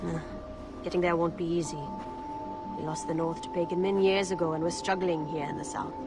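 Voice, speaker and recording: An actress playing a shrewd female rebel leader in a video game, persuading in a deliberate, measured way. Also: fairly low pitch, level tone, lightly acted. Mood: solemn persuasion. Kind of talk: video game cutscene acting. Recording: loud music behind the voice.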